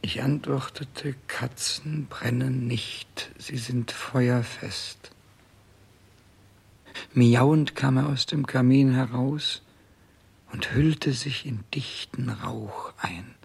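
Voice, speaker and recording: Husky, halting dying king. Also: mid-range voice, heavily acted. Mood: tender, haunted. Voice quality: husky, gravelly. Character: dying old king grieving for his lost cat. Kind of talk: 1960s German radio play acting.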